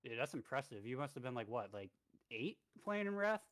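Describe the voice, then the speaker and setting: A man's voice: medium pitch, sing-song, fairly quick, fairly bright tone, no echo. A man in his twenties, streaming a game and leading a raid, talking in a rambling, easygoing way with lots of fillers. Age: 20s. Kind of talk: casual gaming chat and raid briefing.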